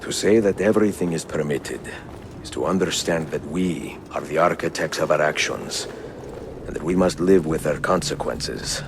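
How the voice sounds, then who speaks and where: An actor playing a weary, reflective older Italian assassin in a game cutscene, speaking in a measured, solemn way with an Italian accent. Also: male voice, very deep voice, noisy background. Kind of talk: dramatic game cutscene dialogue and letter narration.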